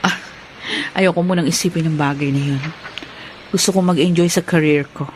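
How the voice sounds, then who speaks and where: A woman's voice: fairly low pitch, fairly even intonation, normal speed, slight background noise, close to an everyday voice. An actress playing a guarded but good-natured young career woman in a radio drama. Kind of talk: Filipino radio drama acting.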